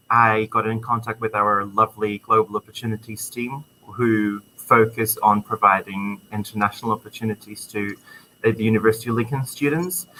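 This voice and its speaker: A young man with a Czech accent talks as a student guest in a casual interview. His voice is bright and forceful, and his speech is friendly and enthusiastic and full of ums. A faint hiss sits under the recording. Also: on the low side, poor audio. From Czech Republic. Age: young adult. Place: university computer lab.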